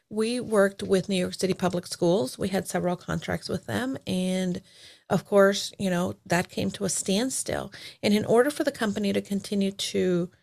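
A woman's voice: mid-range voice, creaky. A woman from El Salvador, a certified life coach, talking reflectively in a casual interview with many fillers and restarts.

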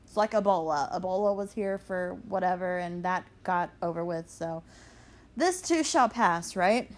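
A woman's voice: on the low side, animated, unhurried. A young woman hosting her own podcast, chatting casually and venting bluntly with plenty of swearing. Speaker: podcast host. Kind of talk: casual solo podcast chat.

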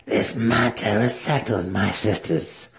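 A man voicing an imperious, shrewd female dark elf matron with a formal, commanding manner in a fantasy audiobook. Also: on the low side, slow. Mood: solemn. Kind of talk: dramatic fantasy audiobook reading.

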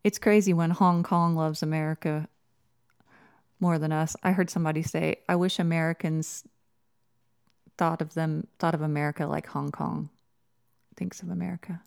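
A woman with a fairly low voice chats casually about politics as a co-host. Her delivery is informal and opinionated, like everyday conversation with a partner on the show. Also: fairly even intonation, moderate pace, creaky, darkish tone, smooth.

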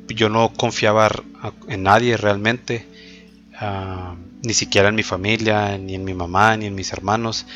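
A man gives a personal faith testimony. He talks reflectively and hesitantly, pausing often on filler words. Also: on the low side, a bit bright. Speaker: testimony speaker. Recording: dull lo-fi with faint background music.

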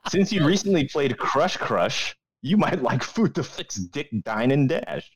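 A man's voice: fairly high pitch, very animated, a little slow. A young man co-hosting a podcast in casual, joking banter, teasing and sweary with crude humour. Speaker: podcast co-host.

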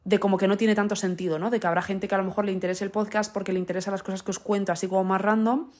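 A woman's voice: mid-range voice, little pitch movement, racing, darkish tone. A young woman hosting her own podcast, thinking aloud in a casual monologue full of fillers and asides. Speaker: podcast host.

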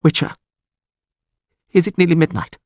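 A man's voice: mid-range voice, lively intonation, measured pace, breathy edge, dry recording, clean studio sound, pitched above the performer's own voice. A man voicing an agitated, haughty nobleman in an audiobook, with a strained, raised voice. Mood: anxious, pleading. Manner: sneering, blustering, agitated. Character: haughty, furious nobleman, frightened and pleading when bound. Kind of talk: audiobook character acting.

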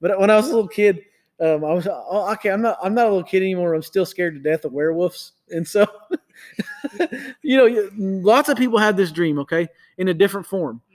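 30s guy chatting about faith, slightly high voice, keeps restarting sentences. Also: expressive, a little fast. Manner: rambling, self-correcting, many restarts. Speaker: podcast co-host.

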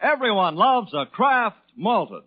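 A man's voice: high voice, big pitch swings, takes it slowly, husky, faint music behind. A man with an American accent announcing on 1940s radio, reading a scripted advert with polished, emphatic salesmanship. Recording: dull lo-fi 1940s radio broadcast recording.

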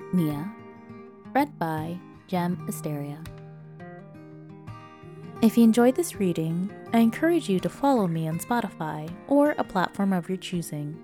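A woman reading fiction aloud as a podfic narrator in an expressive, scripted storytelling style. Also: lowish voice.

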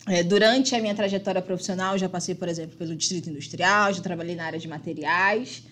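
A woman in her thirties speaking as a guest on a company livestream, lively and casual. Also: medium pitch, a little hiss or hum. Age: 30s. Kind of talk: casual panel talk.

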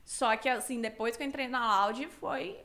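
A woman in her twenties from Cuiabá talks in loose Brazilian slang and laughs easily. Her accent carries a touch of São Paulo. Her voice sits high and rings bright and forward. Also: normal speed. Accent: Brazilian, mixed Cuiabá and São Paulo. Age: 20s. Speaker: streamer guest. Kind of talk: casual chat.

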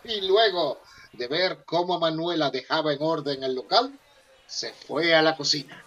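A man's voice: a little high, a little slow, fairly bright tone, lo-fi sound. A man narrating a humorous radio soap opera in a melodramatic, suspenseful scripted style.

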